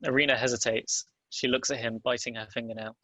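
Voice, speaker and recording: Bright-voiced man narrating a screenplay. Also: mid-range voice, fairly even intonation, brisk, no room sound, low-quality recording. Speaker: narrator reading stage directions.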